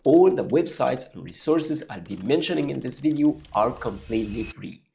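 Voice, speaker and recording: Arabic-native guy teaching english to camera, encouraging, warm mellow tone. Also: mid-range voice, lively intonation, normal speed, vocal fry. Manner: upbeat, coaching the viewer step by step. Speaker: English learning YouTuber. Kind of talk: direct-to-camera lesson.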